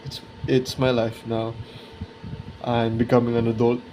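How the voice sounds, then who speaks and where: A twenty-year-old man talking casually and reflectively to his viewers in a vlog, halting and correcting himself as he searches for words. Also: medium pitch, completely flat delivery, mellow, slight background noise, low-quality recording. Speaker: art video creator.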